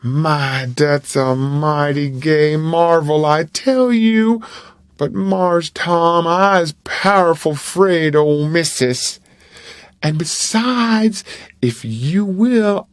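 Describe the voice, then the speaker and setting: A man's voice: fairly high pitch, lively intonation, drawn-out words, no echo. A man voicing a timid young errand boy who hesitates and pleads in a storybook reading. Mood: fearful.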